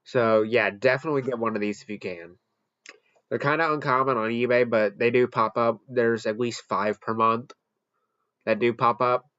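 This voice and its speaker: A man's voice: medium pitch, steady tone, normal speed, fairly bright tone, no room sound. Man chatting casually, dry dull-sounding mic. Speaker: host ranking weather radios. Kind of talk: casual off-the-cuff product ranking commentary.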